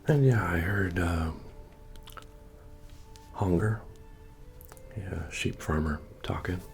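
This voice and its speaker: A man playing a friendly, humble medieval fantasy innkeeper in a soft-spoken, deep-voiced, understated ASMR roleplay. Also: slow pace, vocal fry, heavily acted. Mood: easygoing, musing. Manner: hesitant, rambling, understated delivery. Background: bard music.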